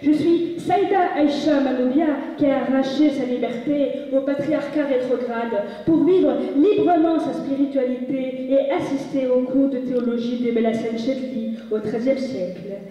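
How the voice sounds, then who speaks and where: A woman giving a measured, declamatory reading of texts at a musical reading, heard from a distance in a large hall. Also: high-pitched, dark tone. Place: large hall with long reverb. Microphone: distant from the mic. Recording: echoey hall recorded from far off, dull sound.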